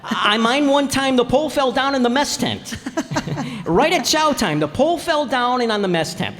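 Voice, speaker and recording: A man's voice: very high voice, expressive, moderate pace, a bit bright. A man playing a cocky, blustering husband who mangles his grammar in a folksy old-time radio comedy style. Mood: cocky, rambling. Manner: folksy, bungled grammar, wisecracking. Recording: echoey hall stage recording.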